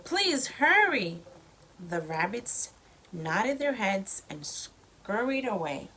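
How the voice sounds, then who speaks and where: Woman, mother reading to child, storybook narration, lively intonation, slow pace, slightly noisy home recording. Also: medium pitch. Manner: slow, expressive child-directed delivery. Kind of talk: storybook read-aloud with simple explanations for a child.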